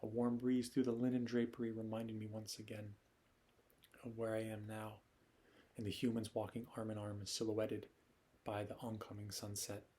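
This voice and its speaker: Man performing a nostalgic wandering poet, low-pitched. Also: completely flat delivery, moderate pace, warm tone, close to an everyday voice. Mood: reflective. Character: nostalgic wandering male poet, reflective and yearning. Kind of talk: poetic dramatic monologue.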